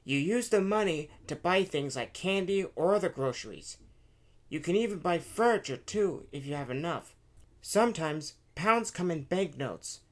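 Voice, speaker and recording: Narrator as a smart-aleck but helpful school kid, boy, explains stuff patiently, storybook read, lively pitch. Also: normal speed, lightly acted. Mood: explaining. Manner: patient, know-it-all explaining. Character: helpful, self-assured schoolboy explaining things.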